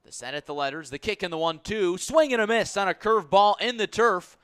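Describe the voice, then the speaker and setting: A man's voice: high-pitched, a little fast, a bit bright, smooth. A man doing live baseball play-by-play commentary for a radio broadcast.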